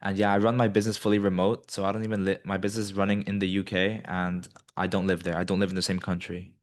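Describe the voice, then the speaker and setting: A young man in his twenties, originally from Colombia, talks through his answers in a low, easygoing voice. He pads his sentences with ums and you-knows as he thinks out loud. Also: fast talker. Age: 20s. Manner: many ums and you-knows, relaxed and rambling. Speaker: guest, business student. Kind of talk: casual interview answers.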